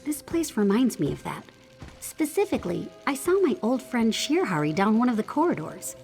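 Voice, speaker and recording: A woman's voice: on the high side, big pitch swings, normal speed, creaky, darkish tone, soft background music. A woman voicing an earnest, suspicious young apprentice in a video game, with a questioning way of talking. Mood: uneasy, suspicious. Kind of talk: video game character dialogue.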